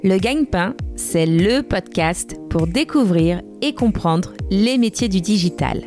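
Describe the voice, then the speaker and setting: A woman hosts a podcast and interviews her guest. Her voice sits in a middle register, and she speaks clearly and at a measured pace. Faint music plays quietly behind her. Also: medium pitch, lively intonation. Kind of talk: scripted intro, then interview questions.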